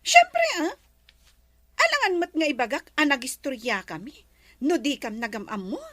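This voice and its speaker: A woman with an Ilocano accent playing a bossy, haughty, wealthy resort owner in a radio drama, with drawn-out, imperious delivery. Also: high voice, very animated, fairly bright tone, no echo.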